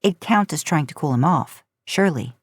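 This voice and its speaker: A woman's voice: sits low, expressive, moderate pace, breathy edge, dry recording. A woman reading a story aloud in audiobook-style narration. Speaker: podcast host and fan fiction narrator.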